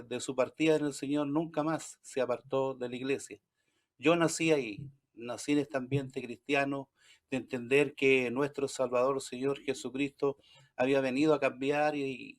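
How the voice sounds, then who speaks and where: A man with a Chilean accent, a guest pastor giving testimony in an interview in an earnest, assured, preacherly manner. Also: medium pitch.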